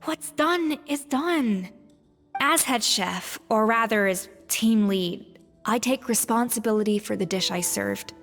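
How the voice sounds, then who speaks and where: A woman's voice: a little high, slow, fairly bright tone, long reverb tail, soft background music. A woman playing a proud, principled young head chef in a video game, speaking in an earnest, firm, formal manner. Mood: resigned, firm.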